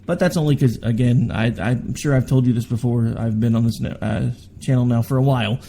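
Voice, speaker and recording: A radio host in his forties, worn down by long weeks of work, talks alone to his listeners in a loose, rambling way. His voice sits in a middle register with a mellow, darker color. A faint hum runs under the recording. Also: medium pitch, fairly even intonation, fairly quick, creaky. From Oklahoma, United States. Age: 40s. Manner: rambling and candid, with asides and self-corrections. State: tired from overwork. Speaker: radio talk show host. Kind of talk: solo political talk radio monologue.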